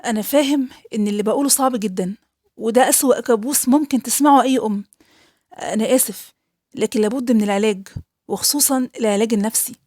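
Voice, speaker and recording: Calm, firm Egyptian male doctor, voiced by a woman, reassuring, dry close sound. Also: on the high side, fast talker, no room sound, natural voice. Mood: grave. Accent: Egyptian Arabic.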